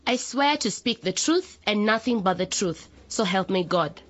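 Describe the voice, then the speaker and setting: A woman's voice: mid-range voice, animated, moderate pace, a bit bright, dull audio. An actress playing an earnest, principled young female councillor giving formal testimony in a radio drama. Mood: earnest, firm.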